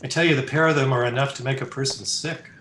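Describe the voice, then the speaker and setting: In a roomy, echoing space with some background noise, a man plays a sardonic young fiancé from an old radio drama. He gripes and wisecracks in slangy talk at a middling pitch. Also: fairly even intonation, fast, a bit bright, natural voice. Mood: sardonic griping. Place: indoor room, recorded over a video call. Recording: roomy echo, noisy home video-call recording.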